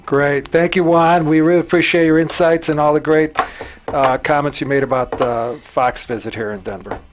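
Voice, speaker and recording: A man's voice: a little high, normal speed, over music. A man hosting a business talk show, interviewing guests with short, friendly questions.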